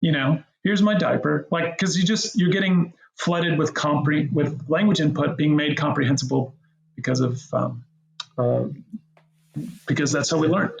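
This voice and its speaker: Middle-aged male guest, rambling and gravelly, recorded in a roomy space. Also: high-pitched. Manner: rambling, animated, many restarts and fillers. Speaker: guest, co-founder of a language app. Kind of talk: casual interview talk.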